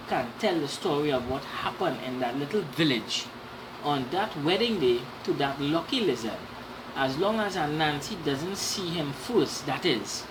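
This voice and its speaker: A woman reading a children's story aloud in an animated, dramatic way, with explanatory asides to young listeners. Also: sits low, background noise.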